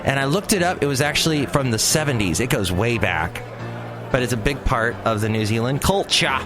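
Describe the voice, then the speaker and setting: A man's voice: mid-range voice, expressive, fairly quick, over music. A man hosting his own podcast in a rambling, jokey monologue with playful asides. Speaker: podcast host. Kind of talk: casual solo podcast monologue.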